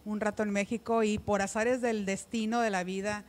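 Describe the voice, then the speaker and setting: A middle-aged woman with a northern Mexican accent, telling stories in a casual, animated, expressive way into a handheld microphone. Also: mid-range voice, normal speed. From Ciudad Obregón, Mexico. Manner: animated, expressive, often quoting others. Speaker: interview guest, radio announcer. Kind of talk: casual chatty storytelling. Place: small decorated studio. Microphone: handheld microphone held close.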